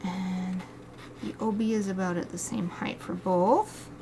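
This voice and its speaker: A woman's voice: medium pitch, fairly even intonation, measured pace, dark tone. A chatty woman from the States talks through a live drawing session, giggling often and thinking aloud. Her voice sits mid-range. A faint hum of room noise runs under the desk mic. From United States. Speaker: artist streaming live drawing. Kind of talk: casual chat while drawing live.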